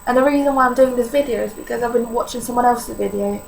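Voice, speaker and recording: Eighteen-year-old woman with a Polish accent, gravelly. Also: on the high side, fast talker, slight background noise, rough recording. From Poland. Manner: candid, informal, many fillers. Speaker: vlogger. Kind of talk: personal vlog confession.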